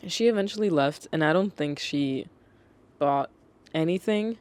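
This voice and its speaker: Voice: mid-range voice, measured pace. Young podcaster swearing through retail stories. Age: young adult. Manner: animated, swearing, acts out customers. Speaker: podcast host. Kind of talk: casual storytelling podcast.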